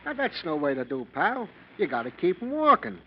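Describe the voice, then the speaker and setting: High-voiced, chummy night workman. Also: sing-song, a little fast. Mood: chummy, pushy. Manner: breezy, slangy, sing-song joking. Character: jovial, chummy night maintenance man. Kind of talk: old-time radio mystery acting. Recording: muffled old radio recording, faint noise.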